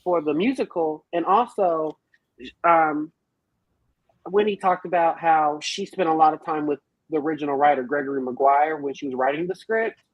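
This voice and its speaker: Husky woman, slangy and salty-tongued. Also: sits low, expressive, moderate pace, no room sound, muffled recording. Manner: profane, slangy, theatrical retellings. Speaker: podcast panelist reviewing a film. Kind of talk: casual animated chat.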